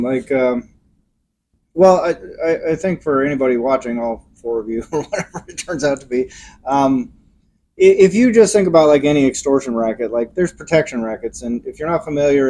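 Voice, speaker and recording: A man co-hosting a podcast, explaining ideas in a casual, animated way and acting out examples in different voices. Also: medium pitch, slight background noise. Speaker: podcast co-host.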